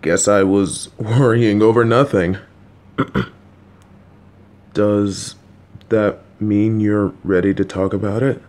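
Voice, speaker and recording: A man's voice: on the low side, steady tone, slow, long pauses, darkish tone. A man voicing a patient, slightly clueless, supportive middle-aged father who coaxes and reassures his child, with jokey asides. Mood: calm, coaxing. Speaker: voice actor in an audio roleplay. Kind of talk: listener-directed audio roleplay.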